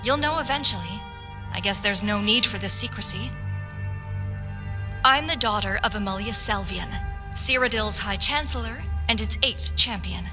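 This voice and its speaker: A woman's voice: medium pitch, normal speed, lightly acted. A woman playing an earnest, patriotic young noble lady officer in a fantasy game, speaking formally and persuasively. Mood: earnest, resolute. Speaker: voice actor in a fantasy game quest mod. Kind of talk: scripted fantasy game dialogue. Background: background music.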